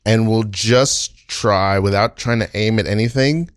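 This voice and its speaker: A man with a fairly low voice leads an improv class in a casual way. He speaks tentatively, inviting agreement as he goes, and the sound is dry with little room to it. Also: a little slow, smooth, no echo. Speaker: improv host and teacher.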